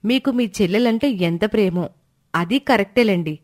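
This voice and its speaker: A woman voicing a sincere, supportive young bride-to-be in an animated moral story, reasoning gently and earnestly. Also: medium pitch, normal speed, lightly acted. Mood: earnest, reassuring.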